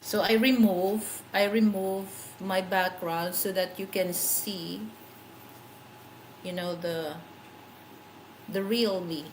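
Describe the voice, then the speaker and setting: A woman's voice: mid-range voice, fairly even intonation, takes it slowly, long pauses, slight background noise, low-quality recording. A middle-aged woman with a Filipino accent, whose first language is a Philippine language, hosting her own channel in a casual, rambling livestream chat and searching for words as she goes. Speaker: channel host.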